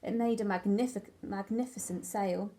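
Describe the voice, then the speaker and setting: A woman's voice: medium pitch, normal speed, mellow. A woman reading a children's story aloud expressively, like a teacher to young pupils.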